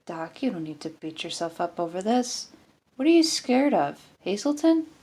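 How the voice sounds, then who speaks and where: A woman's voice: mid-range voice, big pitch swings, normal speed, warm tone, slightly rough. Woman reading as a plainspoken male marshal. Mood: weary, reassuring. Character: groggy, plainspoken male marshal, kind and reassuring.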